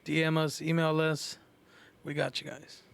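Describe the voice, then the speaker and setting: Male co-host, American, gravelly fry, casual slangy chat with swearing. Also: a little high, monotone, measured pace. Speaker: podcast co-host.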